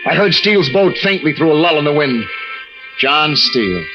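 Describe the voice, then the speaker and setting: An actor playing a tense, fearful lighthouse keeper and husband in an old-time radio drama, snappish and evasive. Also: male voice, on the high side, lively intonation, moderate pace, close to an everyday voice. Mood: haunted, brooding. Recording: muffled old radio recording with music.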